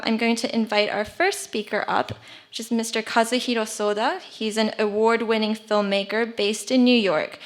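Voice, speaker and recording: A woman's voice: medium pitch, fairly bright tone. A woman moderating a panel, introducing the format and speakers in a friendly way with frequent ums and uhs. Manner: friendly, filler-laden. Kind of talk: event introduction. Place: event hall.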